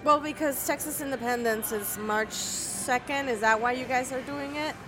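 Female host, casual and fairly high-voiced, clear music underneath. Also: moderate pace. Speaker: podcast host. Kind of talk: casual chat.

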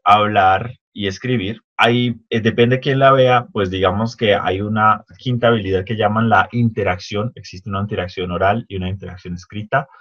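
A man co-hosting a casual talk, explaining an article in a measured way with hedging fillers. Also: fairly low pitch, steady tone, a bit bright. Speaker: co-host discussing an article. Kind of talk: casual podcast chat, explaining. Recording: dull lo-fi sound.

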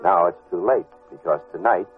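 Man acting a wry low-voiced radio private eye. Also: normal speed, lightly acted. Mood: wry, storytelling. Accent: American. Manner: wry, hesitant, casual. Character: wry, easygoing male private detective narrating his case. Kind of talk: old-time radio detective drama acting and first-person narration. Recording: muffled vintage radio recording with music.

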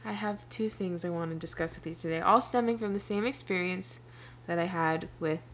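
A woman's voice: on the low side, brisk. A woman hosts a podcast, chatting casually and self-deprecatingly, often trailing off and restarting. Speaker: podcast host. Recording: faint background noise.

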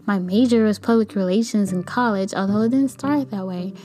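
A young woman with a light, soft voice talking casually in a rambling, candid personal podcast monologue. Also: mid-range voice, a little fast. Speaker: podcast host; kindergarten teacher.

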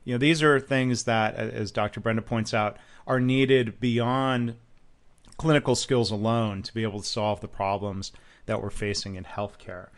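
A man hosting a podcast interview and reading his own promos, relaxed, with frequent ums and uhs. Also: lowish voice, moderate pace. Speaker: podcast host.

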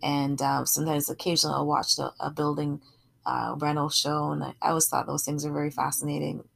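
A woman's voice: low-pitched, flat delivery, a little fast, creaky, a bit bright. A middle-aged woman from Barbados giving a sermon in a conversational, explanatory teaching way, often checking in with her listeners. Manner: explanatory, often checks in with "right?" and "you know". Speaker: preacher.

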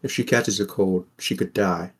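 A man's voice: low voice, moderate pace, a bit bright, breathy edge. A man playing a weary, wry sixteen-year-old boy from a poor mining town, narrating a fan audio drama in the first person. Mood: somber.

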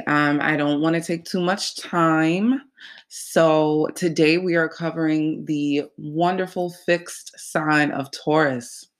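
Slow-talking congested nasal host. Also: medium pitch. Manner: relaxed, rambling with many fillers. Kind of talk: casual astrology talk.

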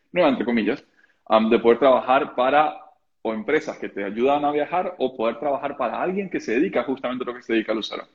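Guy hosting a live stream, rambles through his questions, kinda dull lo-fi sound. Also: medium pitch. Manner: fast, rambling questions with restarts. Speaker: host, interviewer. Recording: dull lo-fi audio.

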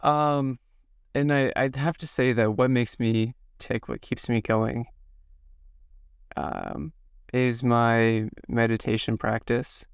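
A man from the Midwest in his thirties, slightly hoarse from a cold, answering interview questions to himself in a slow, halting, reflective way. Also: medium pitch, no room sound, clean studio sound. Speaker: podcast guest. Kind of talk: self-interview.

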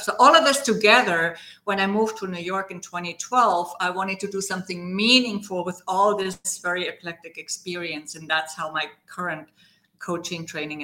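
A woman from Germany speaks with a clear German accent and a somewhat low, bright, forceful voice. She is middle-aged and tells her story at length as a podcast guest, with fillers and restarts along the way. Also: moderate pace, rough recording. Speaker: guest, leadership coach. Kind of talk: podcast interview.

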